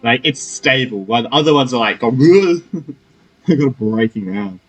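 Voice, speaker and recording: Male let's-play commentator, informal and muttering, rather raspy, slangy casual reactions over faint background music. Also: mid-range voice, expressive, moderate pace, poor audio. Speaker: gaming streamer.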